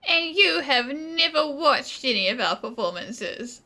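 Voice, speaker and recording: A woman's voice: high-pitched, expressive, measured pace, pushed and bright, exaggerated voice. An actress playing a blunt, defiant woman in a video game debate, pointed and assertive. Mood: sarcastic, challenging.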